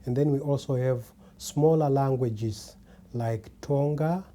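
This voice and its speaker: A man tells stories from his youth in a measured, deliberate way. His voice is dark and mellow, and he takes his time between phrases. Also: mid-range voice. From Zimbabwe. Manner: slow, measured storytelling. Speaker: documentary interviewee. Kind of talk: reminiscing to camera.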